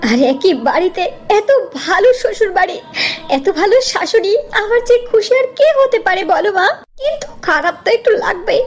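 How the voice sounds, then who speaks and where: A woman's voice: very high voice, lively intonation, brisk, voice pushed up. A woman voicing a doting, tearful mother of five brides in a cartoon story, plaintive and affectionate. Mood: tearful, tender. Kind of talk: cartoon story character acting.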